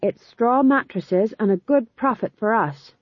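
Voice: medium pitch, unhurried, dry recording, narrow, tinny audio, voice pushed up, natural voice. A narrator voicing a confident, money-minded young man who runs a troupe of actors, speaking with self-assured bossiness. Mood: confident, teasing.

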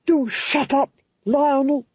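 A woman plays a haughty, cruel Duchess in an audiobook, issuing clipped, imperious commands. The voice is husky and highly varied in pitch, with an impatient menace, on a slightly dull, dry recording. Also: wildly swooping pitch, takes it slowly, darkish tone, dry recording, heavily acted. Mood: irritated. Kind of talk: audiobook character voice.

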